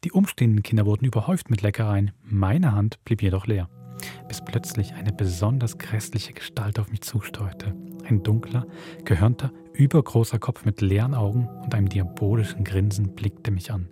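A man with a Swiss accent hosting a podcast, reading a spooky story aloud in a measured storytelling manner. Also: fairly low pitch, music in the background. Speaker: podcast host.

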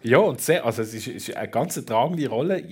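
A man's voice: mid-range voice, expressive, a little fast, a little mellow. A man with a Swiss German accent hosting a conversational radio interview, prompting his guests with enthusiasm. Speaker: radio talk show host.

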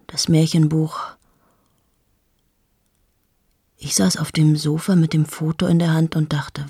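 A cold, self-absorbed journalist. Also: female voice, low voice, husky, no room sound, clean studio sound, heavily acted. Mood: hushed. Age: 30s. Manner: shifts into hushed whispers, addresses the listener directly. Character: cold, self-absorbed female journalist in her late thirties. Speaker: monologue speaker. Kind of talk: radio drama monologue.

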